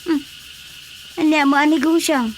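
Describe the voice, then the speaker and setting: A high-voiced woman playing a scolding village wife in a radio comedy drama. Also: steady tone, unhurried, a little hiss or hum. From Philippines.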